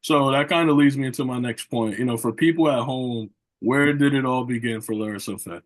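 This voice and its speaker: A man's voice: medium pitch, a little fast. A man hosting a sports interview show, asking long rambling questions with many repeated phrases and "you know" fillers. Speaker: podcast host.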